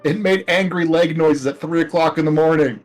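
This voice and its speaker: A man's voice: fairly high pitch, steady tone, normal speed, soft background music. A man in casual conversation with friends as a gaming video co-host, telling wry, rambling anecdotes. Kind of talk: casual chat among friends over a game video.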